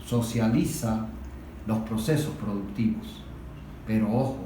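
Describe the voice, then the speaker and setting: A Venezuelan man in a political discussion, explaining things didactically and deliberately, with emphatic points and rhetorical questions. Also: fairly low pitch, steady tone, dark tone. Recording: very echoey room, distant mic, faint noise.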